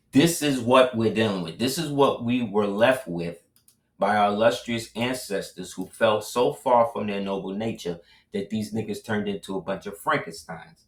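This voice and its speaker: A man hosting his own show, talking in a loose, casual commentary style and dropping in tag questions between points. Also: lowish voice. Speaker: host. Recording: roomy, distant mic.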